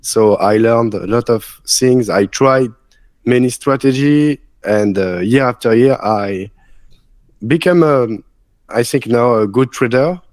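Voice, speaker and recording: A man in his thirties speaks English with a strong French accent, slowly and haltingly, pausing often to hunt for the right word. He sounds a little unwell. The recording is dry and close, with no room sound. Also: mid-range voice, darkish tone, dry recording. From France. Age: 30s. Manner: halting, many filler sounds, searching for English words. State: sick. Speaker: guest trader. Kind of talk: live stream interview.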